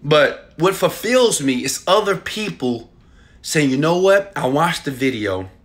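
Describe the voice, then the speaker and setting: A man in his thirties, filmed on a phone held at arm's length in a small room at home, giving a hyped and preachy sales pitch with loud bursts and quieter asides. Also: big pitch swings, unhurried. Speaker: online marketing coach. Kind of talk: selfie video sales pitch.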